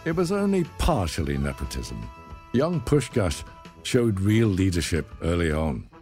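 A man reading a scripted documentary narration in a measured, dramatic storytelling manner. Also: sits low, very animated, a little mellow, soft background music.

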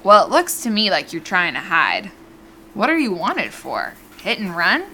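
A woman's voice: medium pitch, sing-song, moderate pace, pushed and bright, a little hiss or hum. A woman playing a brassy, teasing, folksy diner waitress in an audio drama, familiar in manner. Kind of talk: naturalistic audio drama acting.

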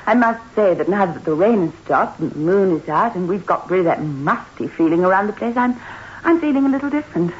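An actress playing an affectionate, easily spooked wife, expressive and apprehensive, in a 1960s radio drama. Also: female voice, medium pitch, brisk, natural voice. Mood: uneasy. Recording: hissy, muffled 1960s radio recording.

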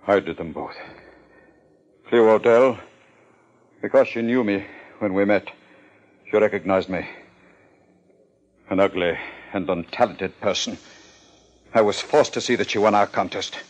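An actor playing a pompous contest sponsor with murder in his past, in formal 1950s radio drama diction. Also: lowish voice.

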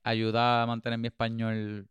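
Very clear-voiced podcast host. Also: male voice, medium pitch, completely flat delivery, moderate pace, a bit bright, no echo. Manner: playful, exclamatory, laughs often. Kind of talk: casual podcast chat mixing Spanish and English.

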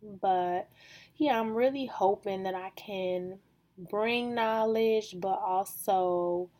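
A woman hosting a faith podcast, talking in a calm, even, monotone way with casual fillers. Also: fairly low pitch. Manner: calm, self-described monotone delivery; casual, with frequent fillers like "you know" and "like". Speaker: podcast host.

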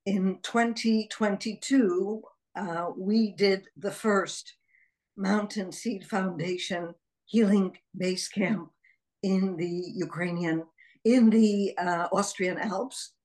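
A woman in her sixties, born in Israel, speaking as a guest psychologist and telling her story in a reflective interview, measured and full of pauses. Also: medium pitch, steady tone, darkish tone, low-quality recording. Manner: slow, measured, with frequent pauses and fillers.

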